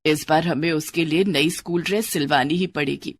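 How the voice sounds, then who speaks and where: A woman voicing a gentle, worried mother from a poor family in a children's cartoon story, with a pleading manner. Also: low voice, normal speed, slight background noise, pitched below the performer's own voice. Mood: concerned.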